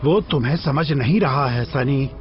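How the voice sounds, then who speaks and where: A man voicing a stern, exasperated travelling companion in an animated film dub, dry and scolding. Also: medium pitch, moderate pace, natural voice. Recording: muffled dub over loud music.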